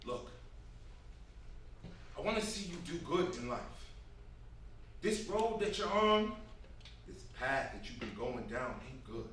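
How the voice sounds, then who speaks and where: A man's voice: fairly high pitch, big pitch swings, slow, pauses often, fairly bright tone, heavily acted. A man playing a protective, exasperated older brother in a dramatic staged reading, intense and emotional, with profane vernacular. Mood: weary concern. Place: echoey room at a live staged reading. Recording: very roomy, distant mic, faint noise.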